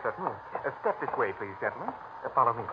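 A prim, over-polite male morgue attendant played by a man speaks with a very lively, swooping pitch, as in a 1940s radio play. The old recording is muffled and very noisy. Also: fast, close to an everyday voice. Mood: fussy, obliging. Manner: obliging, prim, muttering to himself. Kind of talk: 1940s radio character acting.

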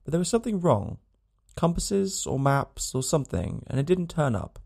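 A parched, dying frontiersman, as a narrator puts him on, weary and gentle, speaking rough frontier vernacular. The voice is gravelly and dry, lifted above the reader's plain tone. Storybook reading. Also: a little high, very animated, normal speed, pitched above the performer's own voice, heavily acted.

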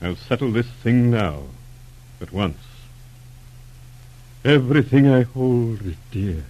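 An actor playing a proud, anguished aristocrat in an old radio drama, grave and halting in a theatrical way. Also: male voice, mid-range voice, lively intonation, muffled recording. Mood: anguished, resolute. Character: proud, anguished lord torn between wife and country. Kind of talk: 1940s radio drama acting.

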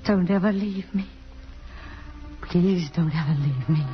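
An actress playing an anxious, tender young woman in love, halting and pleading, in 1950s radio drama style. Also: fairly low pitch, lightly acted. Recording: dull old radio recording with music.

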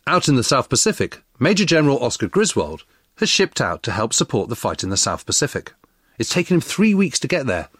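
Lively male history narrator. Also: medium pitch, dry recording, studio quality. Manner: expressive, explanatory. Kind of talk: scripted documentary narration.

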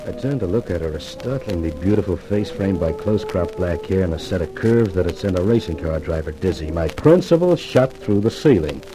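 A man's voice: low voice, lively intonation, fairly quick, few pauses, over music, rough recording. An actor playing a cocky, wisecracking male columnist in a 1950s radio detective drama, glib and wry. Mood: wry narration. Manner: glib, wry, self-assured.

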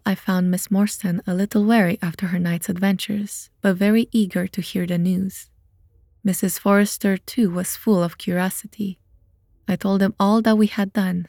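A woman's voice: on the low side, creaky, a little mellow, no echo, studio quality. A woman reading scripted narration for an audio drama as its narrator. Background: music.

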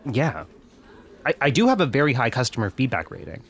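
A man's voice: mid-range voice, very animated, brisk. A young male customer service rep in a comedy audio drama. He is courteous and conciliatory, stumbling a little as he tries to placate people, in a mid-range voice. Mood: nervous, pleading. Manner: hesitant, apologetic, conciliatory. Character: polite, placating young male phone rep under pressure.

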